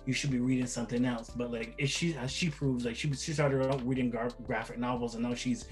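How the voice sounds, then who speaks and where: A man's voice: medium pitch, steady tone, a little fast, soft background music. A middle-aged man from Barbados, a guest father chatting casually in an interview, rambling with frequent restarts and repeated words.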